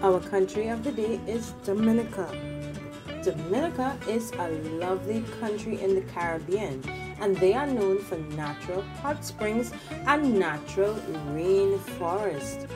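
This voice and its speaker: A woman's voice: medium pitch, animated, unhurried, far from the mic, music in the background. A woman in her thirties with a Trinidadian accent, hosting a preschool lesson and speaking in simple, instructive phrases to young children. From Trinidad and Tobago. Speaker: children's show host, preschool teacher. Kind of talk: presenting a preschool circle-time lesson to children. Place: small indoor room with a classroom display wall.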